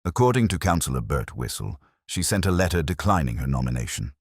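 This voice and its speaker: A man's voice: rumbling low, big pitch swings, a little fast, breathy edge, no room sound, studio quality, full character voice. A man voicing a hesitant, deferential police sergeant giving polite, plain reports in a mystery story.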